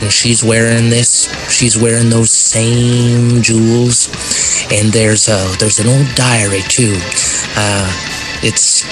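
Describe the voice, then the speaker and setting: An actor playing a troubled small-town local haunted by a ghost in an audio drama, speaking in a halting, folksy way. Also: male voice, mid-range voice, close to an everyday voice, rough recording. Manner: halting, hesitant, repeating words, folksy. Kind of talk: audio drama acting, naturalistic interview dialogue. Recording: music behind the voice.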